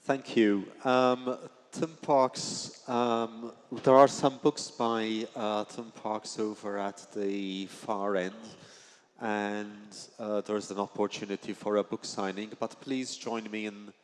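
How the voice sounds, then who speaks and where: A man's voice: lowish voice, little pitch movement, slow pace, clean tone. A man moderating a book festival talk, thanking the guest in a formal, hesitant way. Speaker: interviewer and moderator. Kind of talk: closing remarks to audience. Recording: hall reverb.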